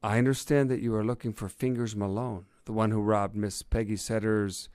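A man's voice: fairly low pitch, normal speed, no room sound, studio quality, lightly acted. A man voicing an eager, insistent fox newspaper reporter in a storybook tale. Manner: insistent, excitable.

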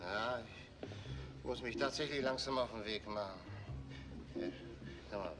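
Sheepish space officer over loud music. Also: male voice, on the low side, measured pace. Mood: hesitant. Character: hesitant, sheepish male space officer dodging going home. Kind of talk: 1960s German TV science fiction acting. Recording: 1960s TV soundtrack with loud music.

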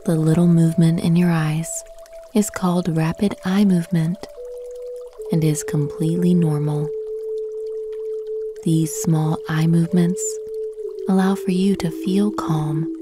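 Low, soft female meditation guide. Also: flat delivery, over music. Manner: slow, soothing, gentle instruction with long pauses.

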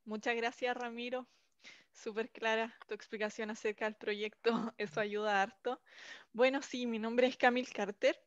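A young woman with a Chilean accent gives an online presentation. Her voice sits a little high. The headset sound is close and dry, with the top end dulled. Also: level tone, normal speed, no room sound. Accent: Chilean Spanish. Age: young adult. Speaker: English teacher presenting a language project. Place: small indoor room, video call. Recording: muffled video-call headset audio.

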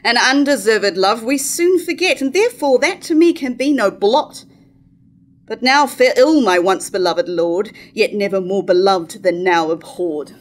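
A woman's voice: mid-range voice, animated, a little slow, close to an everyday voice. A woman in her thirties playing a proud, defiant wife coldly casting off her husband in a staged verse tragedy. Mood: cold defiance. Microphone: stand microphone at lectern.

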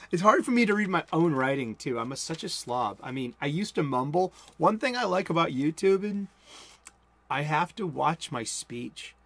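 A man's voice: fairly high pitch, normal speed. A man in his forties with a Boston accent, giving a casual spoken sermon from a cluttered home room, rambling and interrupting himself as he talks. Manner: rambling, self-interrupting, mumbles and speeds up when excited. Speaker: host.

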